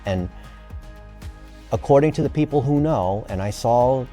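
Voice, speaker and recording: A man's voice: mid-range voice, lively intonation, a little slow, dark tone, soft background music. A man in his early fifties explaining things at length in an enthusiastic, earnest, emphatic conversational way. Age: early 50s.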